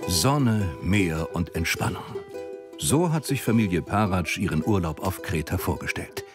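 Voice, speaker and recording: Low-voiced male documentary narrator over music. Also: expressive, a little slow. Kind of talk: scripted dramatic medical documentary narration. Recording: prominent music behind the voice-over. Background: music bed.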